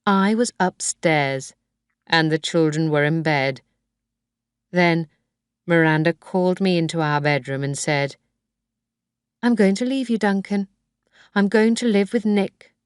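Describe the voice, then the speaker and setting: A woman's voice: lowish voice, measured pace, long pauses, clean tone, no room sound, studio quality, natural voice. A woman voicing a grown man, a widower who talks in an earnest, pleading way.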